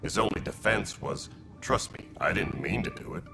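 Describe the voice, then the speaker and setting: An actor playing a curt, businesslike alien militia officer in a sci-fi video game, matter-of-fact and dismissive. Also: male voice, deep voice, animated, normal speed, creaky, music in the background, full character voice. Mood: brusque, dismissive.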